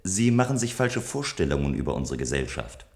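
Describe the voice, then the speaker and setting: A very low male voice carries this science fiction radio play. a composed, self-assured inventor of games from a future society. His delivery is articulate, measured and reflective. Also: sing-song, darkish tone, husky, full character voice. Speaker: narrator and lead character in a radio play. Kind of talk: scripted German radio drama, first-person narration.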